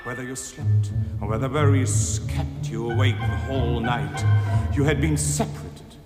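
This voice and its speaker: A male performer playing an anguished survivor and the sergeant he remembers, in dramatic declamation swinging between hushed telling and shouted commands. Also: mid-range voice, unhurried, close to an everyday voice. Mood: anguished, grave. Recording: very reverberant, under loud orchestral music. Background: loud music.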